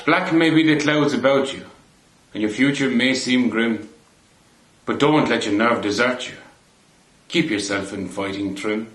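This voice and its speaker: A man giving a measured, deliberate poetry recitation in a roomy indoor space. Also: medium pitch, a bit bright. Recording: roomy echo on the voice.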